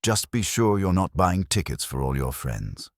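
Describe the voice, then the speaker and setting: A man's voice: low voice, sing-song, moderate pace, vocal fry, dry recording, studio quality, pitched above the performer's own voice, full character voice. A man voicing a tough, wry detective's assistant with a clipped, wisecracking manner in an audiobook mystery. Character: wry, tough male private investigator's assistant.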